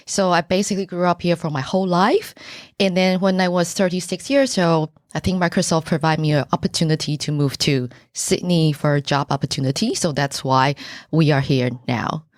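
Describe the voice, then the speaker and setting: A middle-aged woman from Taiwan whose first language is Mandarin, with a Taiwanese accent, answering podcast questions earnestly and pausing to search for words. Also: low-pitched, steady tone, no room sound, studio quality. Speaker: buyer's agent, podcast guest. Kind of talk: casual interview answers.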